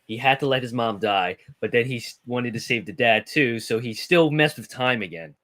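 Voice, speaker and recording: Mid-pitched man in casual chat. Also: moderate pace, fairly bright tone. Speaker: discussion show panelist. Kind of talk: casual movie discussion chat.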